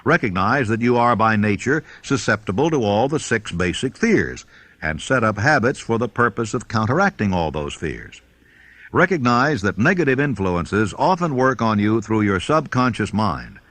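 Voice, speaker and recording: A man narrating a self-help audiobook, giving measured, instructive readings of scripted text. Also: on the low side, big pitch swings, fairly bright tone, no room sound. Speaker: audiobook reader.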